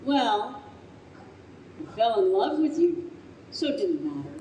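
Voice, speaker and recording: An actress in a live radio play as a frank, loving middle-aged wife, dry and affectionately chiding. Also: female voice, a little high, big pitch swings, slow, dark tone. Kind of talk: live old-time radio play acting. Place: live stage at a convention. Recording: roomy, faintly noisy stage recording.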